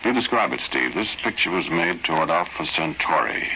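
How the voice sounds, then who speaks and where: Zeer diepe, krakende, onheilspellende verteller. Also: male voice, low voice, lively intonation, slight background noise. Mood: insistent, brooding. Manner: halting, insistent, ominous. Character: intense, obsessed man announcing an alien invasion. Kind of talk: old-time radio horror acting. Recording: muffled old radio broadcast with music.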